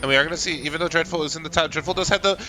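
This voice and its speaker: A young man giving live color commentary on a college esports match, steady and analytic, breaking down gold and items with some restarts. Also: a little high, rapid-fire. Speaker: esports co-caster.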